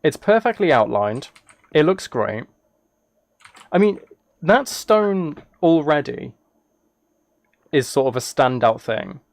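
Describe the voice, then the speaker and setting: A man's voice: a little high, very animated, slow, creaky, long pauses, no echo. British man chatting on a close, dry mic. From United Kingdom. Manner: rambling, self-deprecating, apologetic. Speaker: live streamer playing a video game. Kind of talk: casual livestream chat while gaming.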